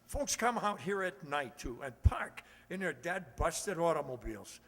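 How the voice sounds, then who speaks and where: An actor playing a cranky, spluttering old waterworks superintendent who grumbles folksy oaths in an old-time radio comedy. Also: male voice, fairly high pitch, big pitch swings, moderate pace, a bit bright. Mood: cranky, exasperated. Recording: reverberant hall, stage re-enactment.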